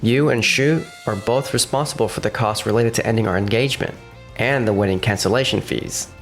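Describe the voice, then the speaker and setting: A man's voice: on the low side, a little fast, soft background music, close to an everyday voice. A man playing an earnest young businessman in a romcom manga dub, with explanatory scripted delivery. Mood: firm.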